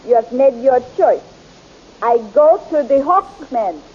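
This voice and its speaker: An actress playing a haughty, spiteful princess who speaks in a cold, imperious way, on a muffled old radio recording. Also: female voice, sits high, lively intonation, measured pace, darkish tone, slight background noise. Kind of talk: 1930s radio drama acting.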